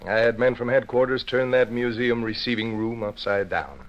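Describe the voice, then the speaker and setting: An actor playing a gruff, businesslike police inspector in an old time radio drama, brisk and official in manner. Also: male voice, mid-range voice, natural voice. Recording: dull, hissy old radio recording.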